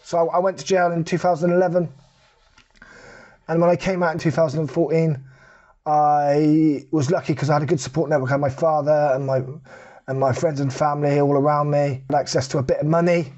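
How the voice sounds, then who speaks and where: Man, middle-aged, slightly higher voice, plain-spoken, conversational, candid personal storytelling. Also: little pitch movement, brisk, darkish tone, muffled recording. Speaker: charity ambassador telling personal story. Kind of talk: candid personal testimony to camera.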